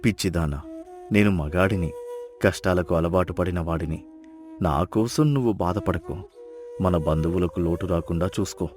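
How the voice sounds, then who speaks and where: A man voicing a poor, kind and self-sacrificing village husband in a moral story, earnest and gentle. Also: low-pitched, moderate pace, soft background music, close to an everyday voice. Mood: earnest, reassuring. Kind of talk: storybook dialogue acting.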